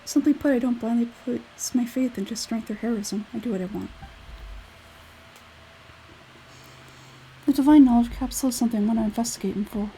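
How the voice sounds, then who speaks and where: A woman voicing an aloof young male scholar in a video game, speaking in a measured, detached, analytical way. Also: on the high side, warm tone, slight background noise, lightly acted, low-quality recording. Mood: blunt, aloof. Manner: measured, detached, matter-of-fact. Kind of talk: video game character dialogue read aloud.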